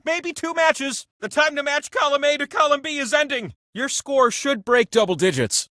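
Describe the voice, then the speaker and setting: A man's voice: high voice, sharp tone, dry recording, studio quality, full character voice. A man voicing a giant talking wheel that hosts a video game quiz show, theatrical, coaxing and playfully grandiose. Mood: urgent, commanding, then playful, encouraging.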